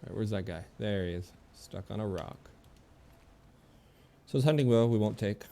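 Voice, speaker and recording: A man's voice: on the low side, moderate pace, creaky, mellow, slight background noise. A man giving casual gameplay commentary, rambling and thinking aloud as he plays. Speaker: game streamer.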